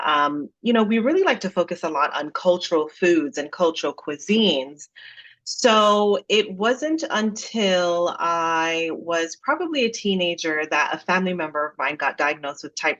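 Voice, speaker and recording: A woman's voice: fairly low pitch, highs cut off. A woman with a slight Jamaican accent over an American one, a dietitian guest telling her story in a casual podcast interview. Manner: lively storyteller with frequent "you know" asides.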